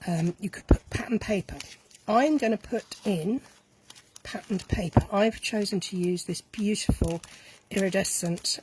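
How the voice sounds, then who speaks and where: Woman, craft tutorial host, chatty, thinks aloud as she works, mid pitch, faint background rustle. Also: unhurried, background noise. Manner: chatty, enthusiastic, thinking aloud while working. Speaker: craft demonstrator.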